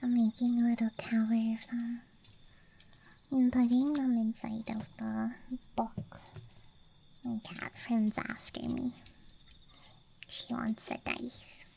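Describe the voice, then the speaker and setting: A woman whispering softly and breathily in an ASMR style, murmuring gently as if to herself, close to the microphone. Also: a little high, level tone, slow, vocal fry, pauses often, slight background noise. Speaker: ASMR streamer playing a dice goblin persona. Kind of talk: ASMR, soft whispering to the listener.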